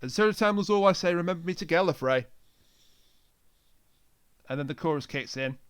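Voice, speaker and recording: A man co-hosts a podcast with a noticeably high voice for a man. His tone is clean and smooth, and he talks in a casual, conversational way. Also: normal speed, a bit bright. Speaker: podcast co-host reviewing an audio drama. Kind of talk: casual chat.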